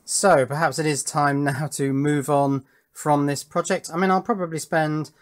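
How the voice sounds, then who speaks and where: A man's voice: on the high side, steady tone, moderate pace. A man hosting a hobby electronics video, talking through his build unscripted in a matter-of-fact, technical and self-critical way. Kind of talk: unscripted workbench explanation.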